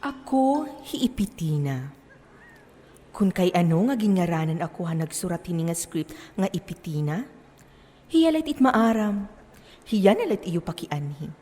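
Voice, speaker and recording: An actress plays an instructive stage character who lectures slowly and deliberately. The delivery is declamatory, full of questions, and rings through a reverberant hall. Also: female voice, fairly low pitch, big pitch swings, mellow, faint music behind. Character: lecturing personified character explaining gender and sexuality. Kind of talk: stage play monologue, explanatory.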